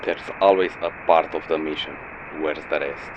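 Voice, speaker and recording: A jaded male mercenary, acted by a man with an extremely low voice. He talks bluntly and sarcastically, like a hired gun grumbling through a game audio log. The sound is dull and lo-fi with clear noise behind it. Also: fairly even intonation, moderate pace. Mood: wary. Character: jaded, sardonic male mercenary codenamed Phantom. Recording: dull lo-fi audio, noisy vehicle-like background.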